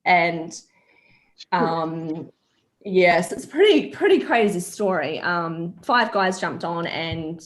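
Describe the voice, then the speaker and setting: A woman's voice: on the low side, animated, slow pace. A young woman with a New Zealand accent, a confidence coach chatting as a guest over a video call and telling stories with plenty of ums. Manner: chatty storytelling, frequent ums. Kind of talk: casual video call interview.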